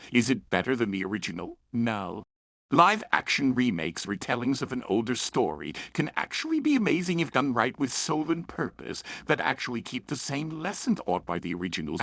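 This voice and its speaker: A man's voice: mid-range voice, creaky, a bit bright, no room sound, studio quality. A man delivering a scripted review with opinionated, emphatic delivery. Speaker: story reviewer.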